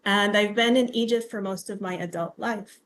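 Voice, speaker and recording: A woman's voice: mid-range voice, normal speed. A woman from Tanzania leading an online workshop, talking through the plan in a friendly, organized way with frequent "um" and "okay". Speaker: workshop facilitator, university instructor. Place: home or office, on a video call. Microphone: computer mic on a video call.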